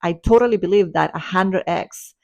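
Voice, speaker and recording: A woman's voice: fairly low pitch, normal speed, gravelly. A woman in her forties talking earnestly and upbeat in a personal spiritual vlog, now and then laughing lightly. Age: 40s. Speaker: spiritual self-development vlogger.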